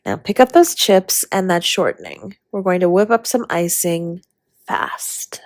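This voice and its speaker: A woman playing a flirty, doting dragon baker spouse in an intimate romantic audio roleplay, speaking in a husky, breathy, teasing way that often drops to a whisper. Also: on the low side, big pitch swings, unhurried, a little mellow, no echo. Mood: playful, instructive.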